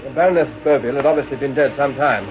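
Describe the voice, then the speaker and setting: An actor playing an anxious, officious hotel manager in an old-time radio mystery, brisk, proper and apologetic. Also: male voice, mid-range voice, background noise, lightly acted. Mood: businesslike. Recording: dull vintage radio recording.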